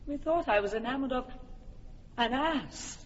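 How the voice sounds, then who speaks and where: A dreamy fairy queen whose voice is high and airy. She lingers slowly over every line, and a muffled, hissy old radio recording surrounds her. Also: lively intonation, long reverb tail. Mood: bewildered. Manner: lyrical, lingering verse. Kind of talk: classic radio drama acting.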